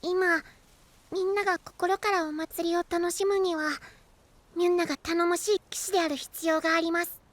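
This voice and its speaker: A man's voice: very high voice, level tone, normal speed, fairly bright tone, no room sound. A man voicing a small, earnest boy knight in an anime-style audio drama, cute, polite and eager. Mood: earnest, troubled. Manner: cute, polite, bubbly, refers to self by name. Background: background music.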